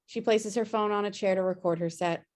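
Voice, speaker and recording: A woman's voice: mid-range voice, steady tone, fairly quick, darkish tone. A woman reading screenplay stage directions as scripted narration on a video-call table read.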